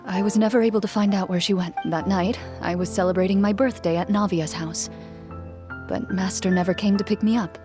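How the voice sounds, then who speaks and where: An actress playing a composed, reserved young female duelist in a video game, speaking in a formal, measured way. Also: mid-range voice, steady tone, music in the background, natural voice. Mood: calm, wistful.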